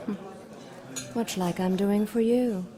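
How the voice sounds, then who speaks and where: An actress playing a sly, amused demon villainess in an audio drama, smug and seductive. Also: female voice, medium pitch, fairly even intonation, slow pace, a crowd in the background, natural voice.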